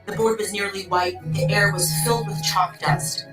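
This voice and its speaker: A woman's voice: medium pitch, steady tone, moderate pace, lightly acted. A woman narrating a dramatized audiobook story. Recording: echoey distant playback with music. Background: music, sound effects.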